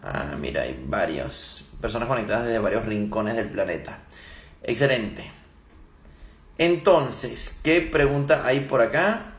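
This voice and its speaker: A man's voice: medium pitch, lively intonation, measured pace. A man speaking as a motivational coach in a live Q&A, emphatic and instructive, repeating key phrases. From Venezuela, born in Germany. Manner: emphatic, didactic, repeats key phrases, rhetorical tag questions. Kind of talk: motivational talk, answering audience questions live. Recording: roomy, echoey livestream sound.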